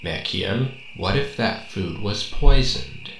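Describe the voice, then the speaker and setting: A man's voice: lowish voice, lively intonation, takes it slowly, creaky, over loud music, exaggerated voice. A man playing a stern, suspicious master of a cyborg in an amateur radio drama, formal and admonishing. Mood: stern, wary. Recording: echoey room, faint noise.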